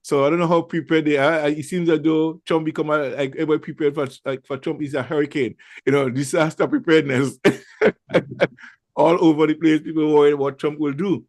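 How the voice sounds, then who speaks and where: A man's voice: fairly high pitch, steady tone, brisk, darkish tone, no echo. A man hosting a casual radio talk show, rambling with many fillers and restarts. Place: lives in Toronto.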